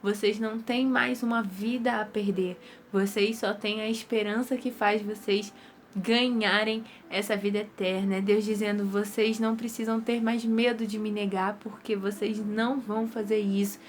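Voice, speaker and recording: A woman's voice: medium pitch, flat delivery, moderate pace, slight background noise. A young woman with a Brazilian Portuguese accent gives an earnest, casual devotional talk to her viewers, her nose stuffy from rhinitis. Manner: earnest, repetitive, conversational.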